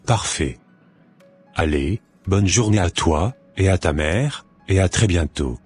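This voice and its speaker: Very deep, husky devout father, acted by a man. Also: animated, takes it slowly, darkish tone, no room sound, full character voice. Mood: caring. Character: caring, devout father advising his daughter. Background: faint music.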